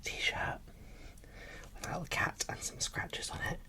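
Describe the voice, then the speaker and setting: A British ASMR creator giving a casual, chatty unboxing commentary in a soft whisper. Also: unhurried.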